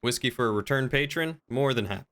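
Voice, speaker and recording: A man's voice: mid-range voice, quick pace, fairly bright tone, natural voice. A man playing an affable small-town tavern keeper in improvised game roleplay, with polite, folksy small talk. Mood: genial, obliging.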